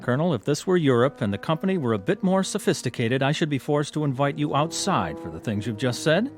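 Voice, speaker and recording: An actor playing a proud gentleman club member in a radio drama, polite and stiffly formal, with wounded dignity. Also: fairly high pitch, very animated, fast talker, faint music behind. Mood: affronted, defiant.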